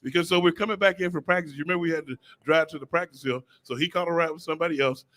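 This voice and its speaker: A man from St. Louis with a somewhat high voice co-hosts a sports show. He chats casually and spins stories, jokey and halting, often repeating himself. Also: a bit bright. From St. Louis, United States. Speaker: sports talk co-host, former football player. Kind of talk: casual chat and storytelling.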